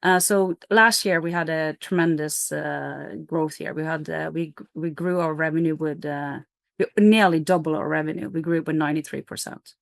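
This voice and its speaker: A woman's voice: deep voice, steady tone, normal speed, creaky, no echo, studio quality. A middle-aged woman from Norway, a company CEO, explaining her business in an interview with energy, fillers and restarts.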